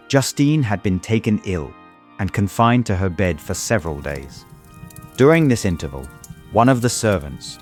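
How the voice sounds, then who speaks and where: An actor playing a tormented young gentleman who narrates a dramatized audiobook in an earnest, formal manner. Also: deep voice. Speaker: narrator and lead character.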